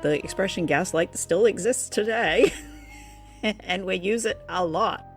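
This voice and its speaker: A woman's voice: medium pitch, unhurried, faint music behind. A woman co-hosting a radio show, chatting about old drama and often searching for her words. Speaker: radio show and podcast co-host.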